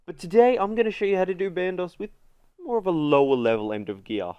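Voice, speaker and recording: A stuffy-nosed man talks through a gaming tutorial in a loose, rambling way. His voice sounds smooth and very dry, right up on the mic with no room sound. The top end is a little dull. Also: high-pitched, lively intonation, fast talker, darkish tone, dry recording. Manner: chatty, many fillers. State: congested, runny nose. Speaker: gaming video host. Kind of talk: casual game guide voice-over.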